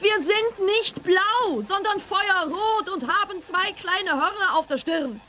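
An actress voicing a tiny, polite alien in a radio play, speaking in an earnest, hesitant and apologetic way. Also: female voice, extremely high pitch, expressive, takes it slowly, bright, forceful tone, heavily acted. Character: tiny fiery-red alien with horns and trunk, apologetic, polite. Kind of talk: German radio drama acting.

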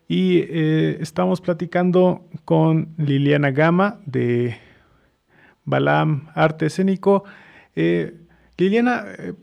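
A male radio host with a Mexican accent and a fairly high, gravelly voice. He speaks casually, as in a relaxed interview with a guest.

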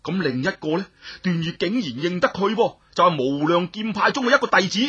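Storyteller for Cantonese audiobook: lively swooping delivery, slightly raspy, dramatic, chatty asides. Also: mid-range voice, a little fast, muffled recording. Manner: dramatic, colloquial, exclamatory asides. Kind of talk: animated scripted audiobook storytelling.

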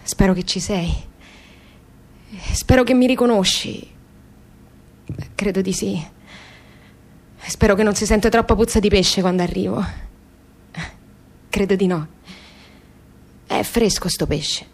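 A young daughter in an intimate radio play, speaking tenderly to her lost mother. She has a southern Italian accent and a medium-pitched voice. Her delivery is colloquial, musing and halting, like private thoughts said aloud. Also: darkish tone. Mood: wistful, hopeful. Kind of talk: intimate radio theatre monologue.

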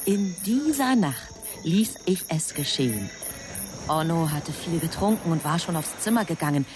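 Narrating angel, a woman, low-pitched, measured and polite, explaining patiently to a superior. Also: expressive, over music, lightly acted. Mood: composed, explanatory. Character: composed angel reporting to an impatient superior. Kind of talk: scripted radio drama narration.

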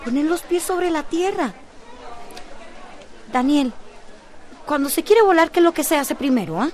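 An actress playing an earnest high-school girl, expressive and coaxing, in a radio drama. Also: a little slow, soft background music, some chatter behind. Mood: earnest, persuading. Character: earnest high-school girl, dreamy but newly practical.

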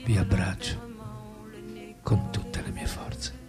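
An actor playing an ardent, sardonic philosopher in love, speaking in an intimate, literary, deliberate way in a radio drama. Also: male voice, low voice, huge pitch swings, exaggerated voice. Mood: ardent, longing. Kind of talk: radio drama acting, reading love letters. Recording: music behind the voice.

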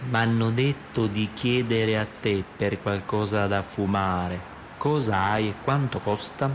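Suspicious, grumbling northern customer. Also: male voice, fairly low pitch, takes it slowly. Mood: wary. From Piedmont, northern Italy. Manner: distrustful, grumbling, insistent. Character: suspicious, indignant northern Italian man buying drugs. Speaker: actor. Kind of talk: naturalistic radio drama acting. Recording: clear street noise behind.

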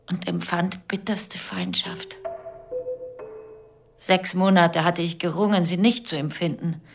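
An actress playing a grieving, bitter wife and mother in a radio drama, speaking intensely in deliberate, broken phrases. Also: lowish voice.

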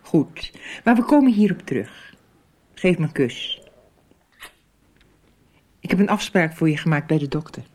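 An actress playing a worried, firmly insistent mother with a husky voice in a radio soap. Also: low-pitched, expressive, unhurried, pauses often, darkish tone. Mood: concerned, firm.

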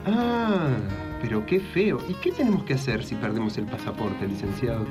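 A man's voice: medium pitch, animated, normal speed, over music, natural voice. A man doing a gushing, swooping daytime TV hostess. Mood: gushing concern. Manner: chatty, exaggerated interest. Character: gushing, nosy hostess of a daytime women's TV show. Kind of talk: improvised radio sketch parody.

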